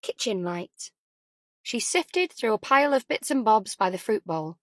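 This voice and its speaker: A woman narrates a scripted audiobook story. Her voice is on the high side, and the recording is dry, with no audible room. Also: expressive, a little slow, dry recording.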